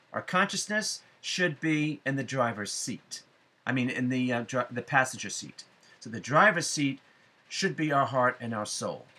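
A middle-aged man giving a spiritual forecast to viewers, speaking deliberately with emphatic phrasing and frequent pauses. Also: medium pitch, sharp tone. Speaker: astrology forecaster and psychic medium. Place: small room at home, indoors.

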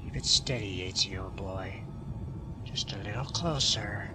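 A man's voice: lowish voice, a little slow, loud music behind the voice, lightly acted. An actor playing an easygoing but jumpy spaceship watchman in an audio drama. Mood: wary.

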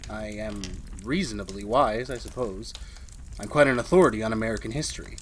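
A man's voice: fairly low pitch, a little fast, lightly acted. An actor playing a haunted, brooding man who confides like a narrator in a retro radio drama. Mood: resigned. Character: haunted husband confessing a murder plan, uneasy academic. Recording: faint background noise.

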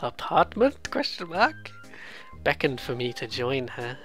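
A bright-voiced let's play host at a middling pitch talks casually through a game. The commentary is rambling, jokey and self-deprecating, mixed with lines read off the screen, with some game music underneath. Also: very animated, unhurried.

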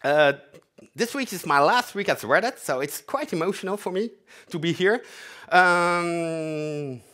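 A man's voice: sits high, expressive, a little slow, sharp tone. A man in his forties with a French accent, giving a chatty, self-mocking live demo talk on a conference stage. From half French, half Dutch. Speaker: conference speaker, developer advocate. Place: large conference hall stage.

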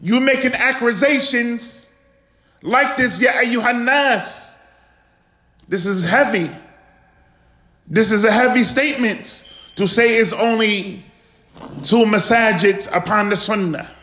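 High-pitched man preaching slowly and passionately. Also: pushed and bright. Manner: exhortatory, emphatic repetition and rhetorical questions. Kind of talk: impassioned sermon. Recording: echoey room.

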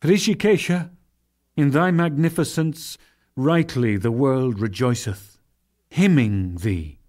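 A man voicing an awestruck, reverent warrior in a dramatic scripture reading, fervent and pleading, with declamatory pauses. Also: medium pitch, darkish tone, close to an everyday voice. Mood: awed.

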